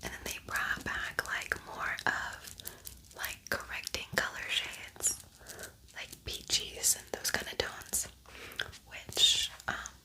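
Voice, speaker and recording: A woman hosting an ASMR makeup tutorial, whispering softly in a casual, chatty, unhurried way. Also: rough recording.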